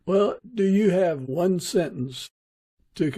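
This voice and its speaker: A man's voice: sits high, expressive, slow, warm tone, dry recording. A man speaking informally and earnestly as the host of an interview podcast. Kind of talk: casual interview and informal prayer.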